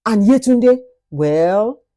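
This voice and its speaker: A woman's voice: medium pitch, expressive, extremely slow, dark tone. Woman putting on a sinister, taunting evil maid. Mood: menacing. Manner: taunting, menacing. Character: sinister, mocking evil maid with dark powers.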